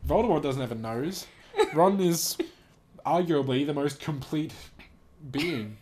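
A man's voice: a little high, expressive, unhurried, darkish tone. A young man reading a text aloud and adding casual, joking, sweary commentary in an amused way. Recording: noticeable background noise.

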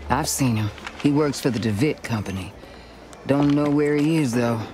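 A woman's voice: very deep voice, normal speed, mellow. An actress playing a confident, mysterious frontier woman in a video game, with a cool, teasing manner. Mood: matter-of-fact. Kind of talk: video game western acting. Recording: music behind the voice.

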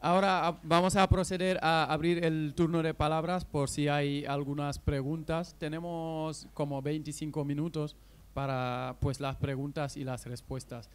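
A man's voice: on the high side, level tone, normal speed, clear, resonant tone. A man politely moderating a panel at a public event, speaking into a handheld mic. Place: panel table in an event tent.

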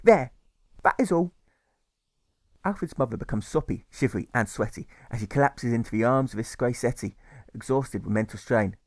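A man's voice: mid-range voice, brisk, no echo, clean studio sound. A man narrating a rhyming novel as an author reading his own audiobook, with rhythmic, sing-song lists.

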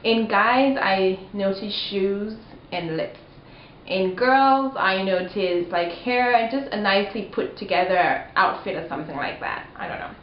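A woman's voice: medium pitch, measured pace. Young woman vlogging in a dull, roomy recording. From Cameroon, also lived in Kenya and Rwanda. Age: young adult. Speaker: video blogger. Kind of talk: casual chat to camera, answering tag questions. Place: small living room, indoors. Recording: dull, roomy home recording with faint noise.

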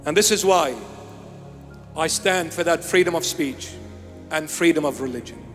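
Older bishop from iraq, high voice, repeats himself for emphasis, long church echo. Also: male voice, moderate pace, soft background music. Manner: emphatic, repeating key phrases. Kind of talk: sermon. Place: small church with altar and candles. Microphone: handheld microphone.

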